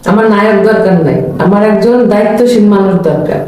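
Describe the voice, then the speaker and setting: A woman's voice: mid-range voice, quick pace, dark tone. A young woman from Rajshahi, Bangladesh, answering interview questions in an earnest, firm way and repeating her point. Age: young adult. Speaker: interviewee seeking a marriage partner. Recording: very echoey room.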